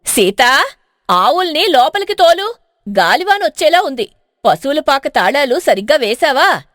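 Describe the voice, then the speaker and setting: A proud, commanding village mother-in-law, acted by a woman storyteller, speaking in a high voice with lively swings. She is strict and matter-of-fact, with a caring purpose beneath it. The sound is close and dry, suited to a storybook tale. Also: unhurried, dry recording, heavily acted. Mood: urgent.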